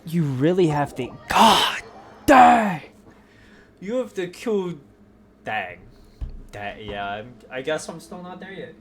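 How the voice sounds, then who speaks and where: Slow-paced man streaming a video game. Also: a little high, sing-song. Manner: self-talking, drifts between exclamations and muttering. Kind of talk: casual gameplay commentary, muttering to self.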